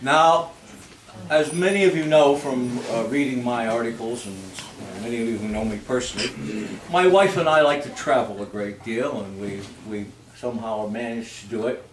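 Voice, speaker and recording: A man's voice: medium pitch, moderate pace. A man addressing a live audience in a playful, mock-stern way as he leads a holiday sing-along. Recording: echoey room from far off, audience noise.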